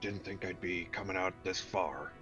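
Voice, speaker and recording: A man's voice: deep voice, steady tone, moderate pace, lo-fi sound, soft background music, lightly acted. A man playing a weary, uneasy doctor in a tabletop game, hesitant and skeptical. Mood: uneasy, reluctant.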